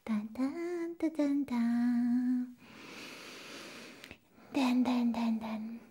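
A young woman playing an eccentric, flustered vampire nurse in a whispered ASMR roleplay, slow and halting, repeating her words. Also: medium pitch, dark tone, exaggerated voice. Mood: eccentric, coy. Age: young adult. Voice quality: breathy, whispery. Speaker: ASMR performer. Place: small indoor room set as a clinic. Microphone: close microphone.

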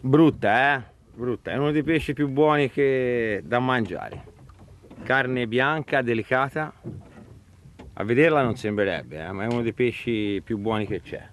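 A man's voice: mid-range voice, a little slow, a little hiss or hum. A man hosting a fishing show, chatting casually on a small boat at sea and coaching his fellow angler.

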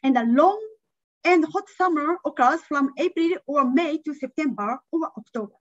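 A woman's voice: sits high, lively intonation, normal speed, no room sound, muffled recording. A woman, not a native English speaker, giving an academic conference talk over a video call, with many hesitant fillers. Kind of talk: online academic presentation. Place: indoor room.

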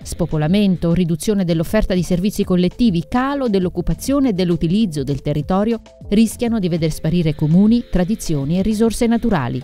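A woman's voice: lowish voice, expressive, a little fast, mellow. A woman reporter reading scripted narration for a news report. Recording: music behind narration. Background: background music.

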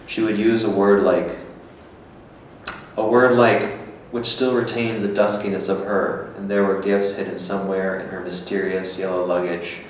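A man's voice: deep voice, level tone, normal speed, close to an everyday voice. A man performing a brooding, guarded, melancholy character in a staged literary reading. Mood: reminiscing. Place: small gallery room before an audience. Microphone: stand microphone, heard from a distant camera. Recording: echoey room, distant mic, faint noise.

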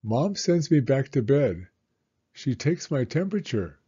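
An older man, a longtime teacher, reading a children's picture book aloud in a gentle, expressive storytelling voice. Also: mid-range voice, unhurried, husky, lo-fi sound. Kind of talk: children's read-aloud narration.

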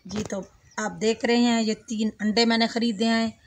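A woman's voice: a little high, level tone, moderate pace. A middle-aged woman from Pakistan hosting a casual home cooking vlog, chatting to viewers with lots of repeated filler phrases, recorded outdoors in a village courtyard by a camera at a distance. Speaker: vlog host. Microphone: camera on tripod at a distance. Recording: noisy outdoor camera audio.

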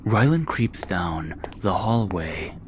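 A man's voice: low voice, expressive, slow pace, noisy background. A man reading scripted scene narration for an audio drama.

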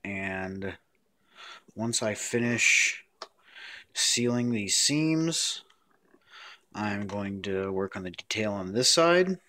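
A man's voice: on the low side, expressive, takes it slowly, pauses often, sharp tone. A man giving a casual hands-on craft tutorial, explaining step by step in plain words.

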